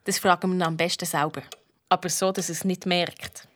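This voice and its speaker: A clever, self-assured woman detective tells her case in Swiss German. She is brisk and a little cheeky, in the storytelling style of a children's radio play. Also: on the low side, little pitch movement, fairly bright tone, no echo. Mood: confiding. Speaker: lead detective and narrator in a children's audio drama.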